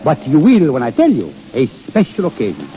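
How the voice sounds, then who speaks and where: Exuberant surgeon-officer: highish, swooping, theatrical, muffled vintage radio sound. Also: male voice, wildly swooping pitch, fairly quick. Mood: exuberant, boastful. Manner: expansive, theatrical, foreign phrasing. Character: flamboyant, boastful Italian army surgeon, fun-loving charmer. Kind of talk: 1930s radio drama acting. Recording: muffled, noisy old radio recording.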